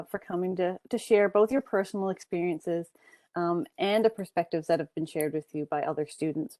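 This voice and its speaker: A woman hosts an online panel in a gentle, slightly low voice. She introduces each speaker warmly, with plenty of "um"s along the way. The sound is close and dry, with no room echo. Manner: friendly, filler-heavy introductions. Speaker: panel moderator.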